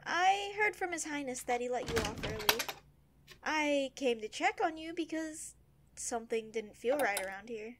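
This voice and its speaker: A high-pitched, motherly palace servant, acted by a woman. She is protective and sassy, with emphatic, caring delivery in an audio drama. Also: lively intonation, normal speed, heavy background noise.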